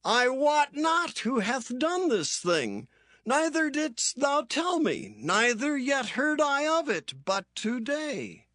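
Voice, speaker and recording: A man's voice: very high-pitched, huge pitch swings, takes it slowly, pushed and bright, no room sound, exaggerated voice. A man playing a solemn, insistent king in a dramatised scripture reading. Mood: pleading, puzzled.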